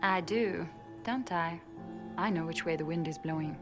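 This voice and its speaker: Shrewd female elf diplomat played by a woman for a fantasy game: lowish pitch, wry and persuasive, music behind. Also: moderate pace, lightly acted. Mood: sly. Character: shrewd, wry female elven ambassador and spymaster. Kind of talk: dramatic video game dialogue.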